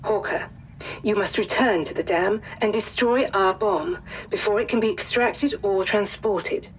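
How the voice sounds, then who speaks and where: An actress playing a formal wartime intelligence officer, delivering a measured, precise mission briefing. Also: female voice, mid-range voice, slight background noise, close to an everyday voice, rough recording. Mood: formal, grave. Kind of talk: scripted mission briefing narration.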